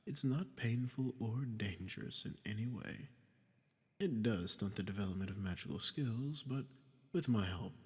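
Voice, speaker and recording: A man playing a sadistic, ancient archdemon in an audio drama, smug and mock-polite, with a gravelly voice that often drops to a breathy near-whisper. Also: on the low side, exaggerated voice. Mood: casual, condescending. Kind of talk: scripted roleplay audio drama monologue. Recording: long cavernous reverb.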